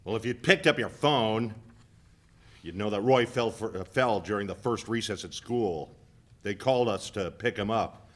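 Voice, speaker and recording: A man's voice: on the low side, moderate pace, a bit bright, lightly acted. A man playing an irritated, reproachful husband and busy father in a dramatic play reading.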